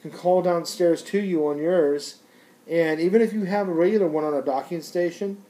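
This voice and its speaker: A man's voice: a little high, normal speed, dark tone, rough recording. A man giving a casual, unscripted product review in a rambling, conversational, slangy way.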